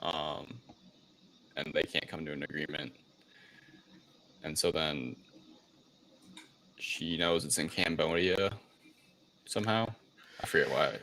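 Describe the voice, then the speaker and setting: A man co-hosting a podcast, talking through a review casually and haltingly, as if thinking aloud. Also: rumbling low, pushed and bright, a little hiss or hum, low-quality recording. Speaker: podcast co-host. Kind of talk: casual movie review chat.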